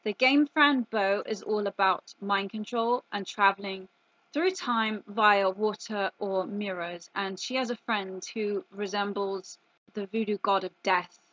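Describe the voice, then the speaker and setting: A woman speaks in a bright, forceful voice at a middling pitch. She delivers her commentary emphatically, posing questions and landing on exclamations. The sound is dry, with very little room around her. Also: no echo, muffled recording. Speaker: video commentator. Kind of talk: conspiracy commentary monologue.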